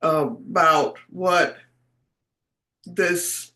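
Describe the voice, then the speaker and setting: A woman hosting a video call meeting, greeting people warmly and talking in a rambling, affectionate way. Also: on the low side, steady tone, drawn-out words. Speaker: meeting host.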